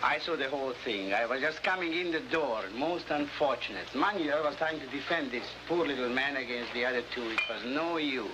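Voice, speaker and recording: An actor playing a smug, scheming Latin American newspaper publisher in a theatrical, oily 1950s television style. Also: male voice, mid-range voice, fairly quick, few pauses, natural voice. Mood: smooth, gloating. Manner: theatrical, oily, mock-courteous. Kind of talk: early 1950s TV adventure acting. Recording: noisy old 1950s television soundtrack.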